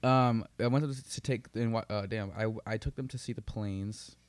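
Young man, slangy and low-voiced, dry sound. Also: normal speed, dry recording. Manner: slangy, profane, joking. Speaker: podcast co-host. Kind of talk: casual banter and storytelling.

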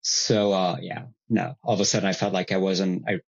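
A man in his thirties, a podcast guest, chatting casually and telling his story in a relaxed, wry, self-deprecating way over a video call. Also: sits low, moderate pace, vocal fry. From Italy. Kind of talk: casual conversation. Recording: dull lo-fi video call audio.

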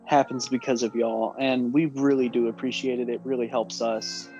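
Male podcast co-host, warm and mellow, emphatic, filler-heavy talk, dull lo-fi audio. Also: medium pitch, little pitch movement, moderate pace, soft background music. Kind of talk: casual podcast chat.

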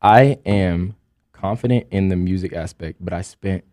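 Animated young man with a low voice. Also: no echo, studio quality. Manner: animated, quick bursts of excitement, restarts. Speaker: podcast co-host, aspiring rapper. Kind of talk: casual podcast chat.

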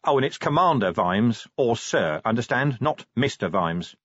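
Man playing an irritable, husky world-weary police commander. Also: mid-range voice, big pitch swings, moderate pace, fairly bright tone, no room sound, muffled recording. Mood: irritated, curt. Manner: curt, sardonic.

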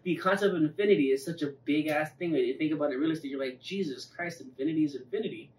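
A man's voice: a little high, rapid-fire, darkish tone. A man chatting casually and telling rambling personal stories, with plenty of swearing. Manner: swears freely, rambling storytelling. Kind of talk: casual chat. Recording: echoey room, distant mic, faint noise.

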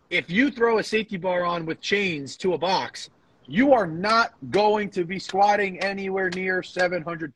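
Male podcast co-host with a raspy voice in a dry space, casual, blunt and insistent. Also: a little high, fairly bright tone, dry recording, rough recording. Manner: blunt, insistent, repeats phrases for emphasis. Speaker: co-host, powerlifting coach. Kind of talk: casual podcast banter and opinion.

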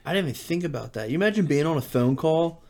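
A man's voice: a little high, expressive, fast, a loud crowd. A man chatting casually as a podcast co-host, telling stories in a slangy way and acting out remarks. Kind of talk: casual chat.

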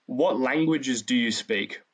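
A male host with an ordinary mid-pitched voice. He asks his guest short, plain questions, one at a time. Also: slow, slightly rough. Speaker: interview host. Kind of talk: interview questions.

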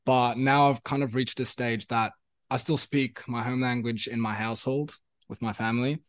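A man's voice: medium pitch, fairly even intonation, moderate pace, no room sound, clean studio sound. Young Afrikaans-speaking South African man. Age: young adult. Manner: rambling, repeats himself, many fillers. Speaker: musician guest. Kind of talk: casual interview chat.

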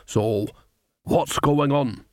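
A man's voice: mid-range voice, drawn-out words, darkish tone, no room sound, studio quality. A man voicing a cheerful, earthy old woman witch with a folksy, chatty manner. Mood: sly.

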